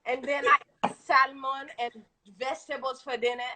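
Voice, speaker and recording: A woman with a Haitian accent, a guest entrepreneur talking casually and at length on a live video stream, animated and quick to laugh. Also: high voice, very noisy. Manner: talkative, animated, laughs often. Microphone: video call.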